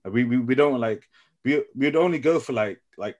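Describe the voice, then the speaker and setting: A young man from Nepal in a casual group discussion, speaking haltingly and often repeating his phrases as he works out his thought. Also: mid-range voice, a little mellow. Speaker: podcast panelist.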